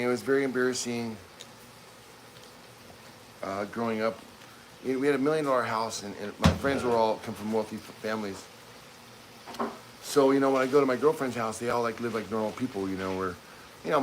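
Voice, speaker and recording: A man's voice: medium pitch, background noise. A man in his fifties chatting casually on a livestream from his home kitchen, rambling with many restarts. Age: 50s. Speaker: livestream co-host.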